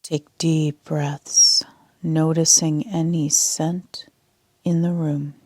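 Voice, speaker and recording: A woman leading a sleep meditation as a yoga nidra instructor, speaking in a soft, half-whispered, calm and soothing way. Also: low-pitched, very slow, dry recording, studio quality.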